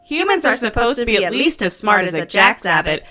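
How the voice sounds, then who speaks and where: A sardonic alien mechanic from an audio drama , played by a woman, with a bright, lively voice. The delivery swings in pitch and stays brisk, bossy and sarcastic, with music underneath. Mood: dry, explanatory. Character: dividing two-headed alien plasmoid mechanic, sardonic and bossy. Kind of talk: scripted audio drama dialogue.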